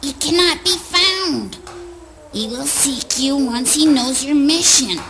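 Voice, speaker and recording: An actress playing a sly, scornful old crone in a spoken word play, with a taunting, theatrical manner. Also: female voice, high-pitched, takes it slowly, background noise. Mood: cryptic, sly.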